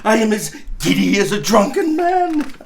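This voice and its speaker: A man's voice: high voice, big pitch swings, moderate pace, vocal fry, slight background noise, voice pushed up, exaggerated voice. A man voicing an overjoyed, newly reformed old miser in a storybook reading, exuberant and stammering with exclamations. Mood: giddy elation.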